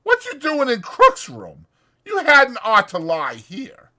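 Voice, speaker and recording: A man voicing a bossy, exasperated ranch worker with a sharp scolding manner in a dramatized audiobook. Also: very high-pitched, huge pitch swings, slow pace, voice pushed up, exaggerated voice.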